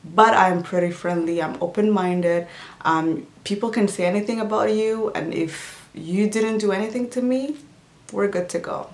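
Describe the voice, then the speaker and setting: A woman's voice: mid-range voice, normal speed, a little mellow. Easygoing woman in an echoey room. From Djibouti. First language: not English. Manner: easygoing, chatty, many fillers and restarts. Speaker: health and nutrition vlogger. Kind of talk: casual Q&A vlog. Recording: roomy echo.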